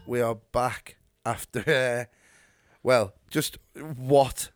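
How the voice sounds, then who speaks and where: A man's voice: mid-range voice, steady tone, takes it slowly, a little mellow, soft background music. A man, co-hosting a podcast in casual banter. He rambles, repeats words and laughs often. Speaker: podcast co-host. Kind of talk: casual chat.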